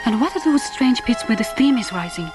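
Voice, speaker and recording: A woman playing a gentle, devoted young Japanese islander in a radio drama, earnest and formal in her phrasing. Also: fairly high pitch, lively intonation, a little fast, lightly acted. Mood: uneasy, awed. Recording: music bed under voice. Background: orchestral film score.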